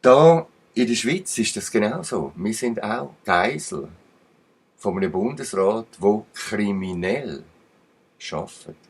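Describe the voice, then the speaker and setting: A man's voice: fairly low pitch, expressive, slow pace, slightly rough. A man with a Swiss German accent giving rambling commentary, emphatic and digressive, with uneven bursts. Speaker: channel commentator.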